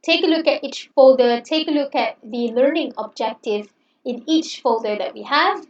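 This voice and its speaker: A woman's voice: sits high, normal speed. A woman lecturer who speaks English as a second language, encouraging and chatty, often checking in with "okay". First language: not English. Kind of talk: online class introduction. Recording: roomy, distant, dull sound.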